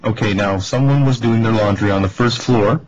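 A man playing an urgent, insistent hotel staffer on a prank phone call, halting and polite in address. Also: lowish voice, a little mellow, natural voice. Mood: official, serious. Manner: halting, repeatedly addressing the listener as ma'am. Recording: dull, noisy telephone recording.

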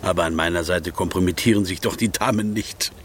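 Man playing a low-voiced, flattering abbé. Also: fairly quick, slight background noise, natural voice. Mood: insinuating. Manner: insinuating, courtly. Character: smooth-tongued, flattering, scheming provincial abbé. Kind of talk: German radio drama acting.

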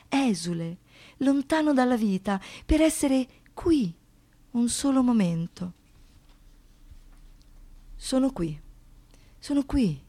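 An actress playing a traumatised young woman who survived a brutal assault, in a poetic, declamatory radio monologue, anguished and defiant. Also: mid-range voice. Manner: dramatic, swinging between hushed reflection and impassioned outbursts.

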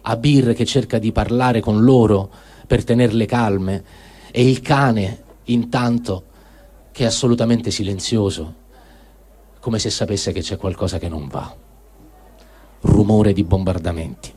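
Husky man reading a diary aloud. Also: fairly low pitch, steady tone, a little slow, pauses often, mellow. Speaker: reader.

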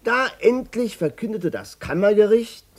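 Wry, animated, worn-down German barber. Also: male voice, high voice, normal speed. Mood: bitter, resigned. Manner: colloquial, emphatic, wry. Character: worn-down, plain-spoken German barber, telling his story bitterly. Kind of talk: German radio play acting, narration and dialogue.

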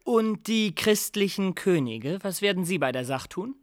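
An actor playing a teasing rogue who humours a mad god with mock reverence in a literary radio drama. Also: male voice, high voice, expressive, unhurried, no room sound, clean studio sound. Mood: questioning, mock-reverent. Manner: wry, teasing, mock-deferential. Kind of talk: theatrical literary radio drama in archaic German.

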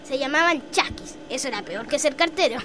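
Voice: high voice, lively intonation, brisk, noisy background. A young-sounding performer playing an impatient, chatty boy with a Chilean accent in a radio drama.

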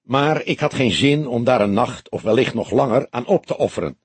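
A man voicing a husky, measured older detective. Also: medium pitch, animated, dry recording. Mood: resigned. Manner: measured, formal phrasing. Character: older male police detective, calm, deliberate, sceptical. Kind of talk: audiobook character dialogue.

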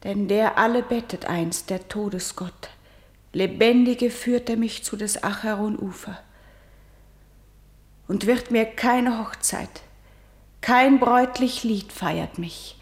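In a roomy, echoing space, a condemned young heroine of ancient tragedy. She declaims slowly and solemnly, grieving yet defiant, in verse for a radio play. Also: on the high side. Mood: sorrowful. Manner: solemn, measured, dropping from ringing lament to whisper. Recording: echoey, roomy studio sound.

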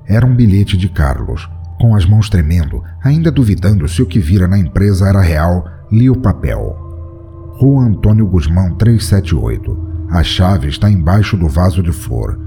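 A man's voice: low voice, big pitch swings, moderate pace, dark tone, faint music behind. A man reading a first-person horror story aloud in a dramatic, tense storytelling manner. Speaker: narrator.

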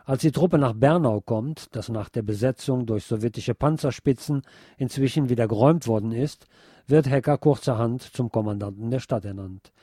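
A man hosting a radio programme, reading scripted narration in a measured, deliberate way. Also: mid-range voice, dark tone, husky, no echo, studio quality. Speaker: radio show host.

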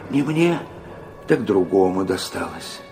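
A frail old man of the people, a devout pilgrim in a literary audio drama. His voice is low and gravelly, and he takes his words very slowly. He sounds ill yet kindly, with a pious, folksy turn of speech. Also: big pitch swings, dark tone, faint music behind, full character voice. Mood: serene, wondering. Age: older. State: sick and weak.

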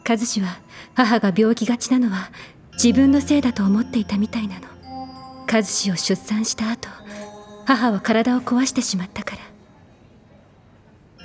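An actress playing a troubled, caring elder sister confiding in audio drama dialogue. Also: female voice, on the high side, darkish tone, lightly acted. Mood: sad, reflective.

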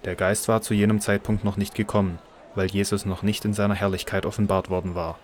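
A man's voice: lowish voice, flat delivery, a little fast, a little hiss or hum. A man reading scripted narration for a Bible audio drama.

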